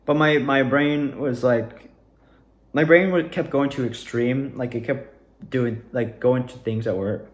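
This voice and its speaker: A man's voice: medium pitch, measured pace, roomy sound. A young man talking casually about his own experiences in a rambling, self-correcting monologue. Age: young adult. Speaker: drama student.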